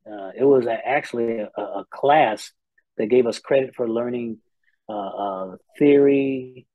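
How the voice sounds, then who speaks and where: A middle-aged man from Indianapolis, an R&B singer answering interview questions in a relaxed way, with many "uh" pauses. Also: mid-range voice, dry recording, narrow, tinny audio. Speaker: R&B singer, guest. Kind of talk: casual interview chat.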